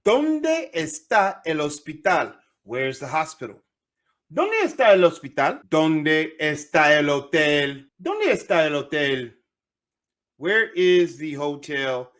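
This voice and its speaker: A young man teaching a language lesson, repeating phrases slowly and switching between two languages in an animated way. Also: fairly high pitch. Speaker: Spanish teacher.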